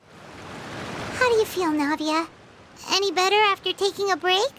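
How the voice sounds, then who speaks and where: A woman's voice: measured pace, a little hiss or hum. A woman voicing a tiny, chirpy, blunt female fairy companion in a game. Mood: concerned. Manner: high-pitched, excitable, fussing over a friend. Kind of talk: game voice acting. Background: game score.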